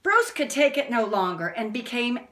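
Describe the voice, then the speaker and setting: A woman reading a picture book aloud to young children like a teacher, expressively, pausing to explain things. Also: on the high side, very animated, fairly bright tone. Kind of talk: read-aloud storytelling with asides to children. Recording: roomy indoor echo.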